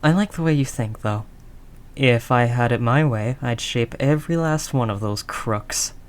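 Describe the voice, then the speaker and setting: A man's voice: mid-range voice, moderate pace, close to an everyday voice. A man playing a world-weary, doting mafia boss in an intimate roleplay, talking in teasing street slang with pet names. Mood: musing, assured. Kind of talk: intimate scripted roleplay audio drama monologue.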